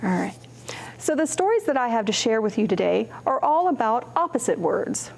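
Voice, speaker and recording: A woman hosting a children's storytime, reading and explaining in simple, deliberate phrases for young children. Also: a little high, sing-song, gravelly, a little mellow.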